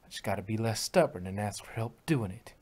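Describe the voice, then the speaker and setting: A man's voice: fairly low pitch, animated, brisk, a little mellow. A man voicing a stubborn, tough female farm pony with a folksy drawl. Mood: defiant. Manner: folksy, defiant. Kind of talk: fan fiction reading.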